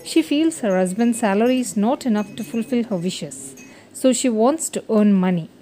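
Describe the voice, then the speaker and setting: A woman's voice: on the high side, expressive, normal speed, warm tone, slight background noise, rough recording. A woman reading a scripted moral story for children as its narrator.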